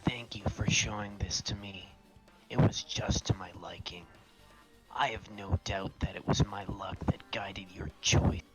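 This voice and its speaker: A performer voicing a soft-spoken, self-deprecating young man obsessed with hope, with breathy, fawning, lingering delivery, in a fan fiction reading. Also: low-pitched, steady tone, measured pace, soft background music, pitched below the performer's own voice, low-quality recording. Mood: tender, admiring.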